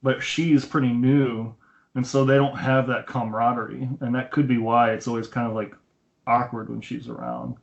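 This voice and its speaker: A man's voice: mid-range voice, little pitch movement, normal speed, creaky. A man talks casually about film as a podcast co-host, with a mid-range voice. He explains as he goes, slipping into retold dialogue. The sound is dull and lo-fi, recorded over an internet call. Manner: explanatory, retells scenes with quoted dialogue. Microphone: Skype call.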